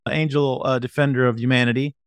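A man's voice: medium pitch, flat delivery, quick pace, clean tone, no room sound. A man hosting an interview in a casual, conversational style. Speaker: interview host.

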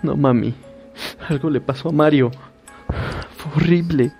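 A man voicing a frightened young woman who pleads and stammers in panic, in a gravelly recorded horror story. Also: on the high side, a little slow, darkish tone, soft background music. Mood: scared.

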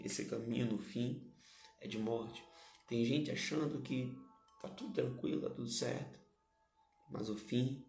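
Hoarse pastor, gravelly and echoey. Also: male voice, mid-range voice, a little mellow. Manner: addresses listeners as "gente", repeats key phrases, rises to emphatic preaching. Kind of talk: sermon.

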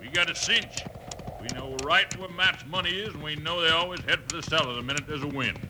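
A man's voice: on the high side, brisk, close to an everyday voice. A man doing a sneering, ruthless outlaw boss. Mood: menacing. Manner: bossy, sneering. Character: ruthless greedy male outlaw leader. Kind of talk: 1950s radio acting. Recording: noisy vintage radio recording.

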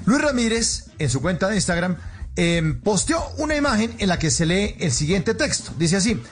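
A man's voice: high-pitched, big pitch swings, normal speed. A jovial man with a Colombian accent, hosting a light-hearted radio chat show. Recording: music playing behind the voice. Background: music.

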